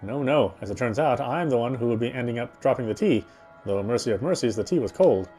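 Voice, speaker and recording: A man reading visual novel narration aloud in a dramatic, reflective way with deliberate phrasing. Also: mid-range voice, lively intonation, warm tone, faint music behind. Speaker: game streamer reading aloud.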